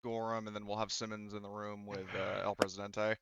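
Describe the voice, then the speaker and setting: A man's voice: fairly low pitch, flat delivery, brisk, a bit bright, dull audio, slight background noise. A man acting as game master, narrating a tabletop roleplaying game casually and thinking aloud as he goes.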